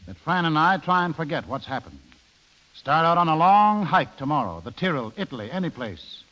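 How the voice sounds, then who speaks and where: An actor in a 1930s radio play as a plain-spoken, middle-aged American husband, wronged and determined to be reasonable. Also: male voice, on the high side, very animated, normal speed, pushed and bright. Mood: firm, confronting. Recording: dull, lo-fi 1930s radio broadcast.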